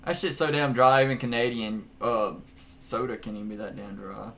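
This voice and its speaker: A man in casual chat, crude and loud, laughing often. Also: mid-range voice, fairly even intonation, fairly quick.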